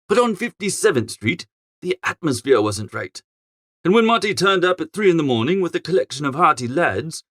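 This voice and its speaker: A man narrates a storybook as a flustered young gentleman of leisure. His voice sits high and swoops about with lively inflection. He sounds posh and chatty, given to grumbling exclamations. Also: no echo, studio quality. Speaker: audiobook narrator. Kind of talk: animated first-person storybook narration in character.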